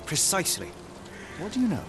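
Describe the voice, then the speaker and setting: A man's voice: high-pitched, wildly swooping pitch, fairly quick, noisy background. A man playing a brilliant, sardonic British detective in a video game, speaking in a precise, pompous manner. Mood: inquisitive. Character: brilliant, haughty young male detective, impatient and sardonic. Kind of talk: video game cutscene acting.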